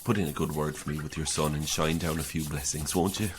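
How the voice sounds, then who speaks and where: An irish priest, pretty deep voice, chatty and a bit awkward, radio play style. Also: male voice, steady tone, fast, noisy background. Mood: dry, affable. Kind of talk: naturalistic audio drama acting.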